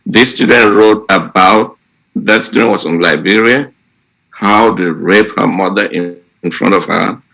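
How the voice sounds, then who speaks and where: A man talks to fellow teachers in a deliberate, halting way. His voice is on the low side and gravelly, and he takes his words very slowly. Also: bright, forceful tone, low-quality recording. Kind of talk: unscripted storytelling to colleagues.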